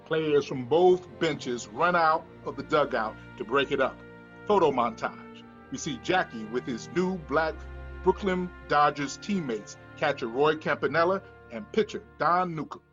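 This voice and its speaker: A man's voice: fairly high pitch, animated, unhurried, fairly bright tone, lo-fi sound, faint music behind. A man reading screenplay stage directions as scripted narration.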